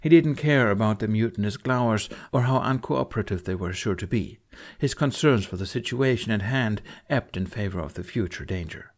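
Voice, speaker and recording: Low-voiced man narrating dramatic fiction. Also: moderate pace. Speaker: audiobook narrator.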